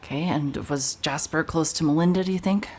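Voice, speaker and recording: A woman's voice: brisk, darkish tone, breathy edge. A woman playing a wry, irritable private investigator in an audio drama, with a dry, blunt, sardonic delivery. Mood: probing, guarded. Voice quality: low-pitched voice. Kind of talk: cinematic audio drama, noir narration and naturalistic dialogue.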